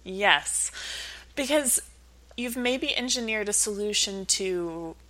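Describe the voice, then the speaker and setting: A woman's voice: medium pitch, lively intonation, slow, bright, forceful tone, rough recording. A woman speaking as an expert guest in an interview, explaining ideas conversationally through anecdotes. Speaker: interview guest, behavioral science researcher.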